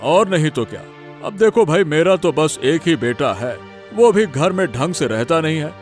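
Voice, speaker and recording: A man's voice: on the high side, animated, normal speed, lightly acted. Male actor as kindly older moneylender, avuncular, expansive delivery, cartoon drama, faint music. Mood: genial. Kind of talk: Hindi cartoon family drama.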